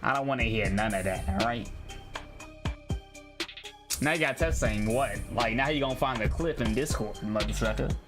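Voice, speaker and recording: Man, livestreamer, casual chat, slangy and jokey, swearing, mid-pitched voice, prominent music behind. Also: moderate pace, pauses often. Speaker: livestreamer playing a visual novel. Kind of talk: casual livestream chat with game dialogue read aloud. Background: game soundtrack music.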